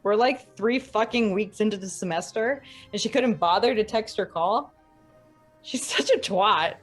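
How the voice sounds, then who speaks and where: A woman's voice: medium pitch, unhurried, breathy edge, faint music behind. A young woman playing a spiteful, domineering college student in a dramatic reading, with a catty, dramatic delivery. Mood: catty, irritated.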